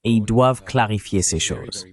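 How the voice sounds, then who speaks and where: Low-pitched man, French voice-over. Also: normal speed. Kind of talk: French voice-over translation of an interview.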